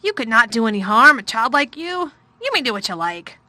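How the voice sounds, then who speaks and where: A woman voicing a troubled, fretful middle-aged gentleman in a storybook reading; loud, weary and distracted. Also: a little high, sing-song, fairly quick, dry recording, heavily acted.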